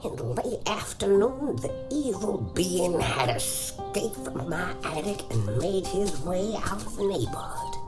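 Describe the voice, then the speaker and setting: A woman's voice: lowish voice, big pitch swings. A woman playing a determined old woman, telling things dramatically in a comedy audio drama. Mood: weary, solemn. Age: older. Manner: dramatic storytelling, deliberate. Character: theatrical, determined old woman who hunts a monster. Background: music.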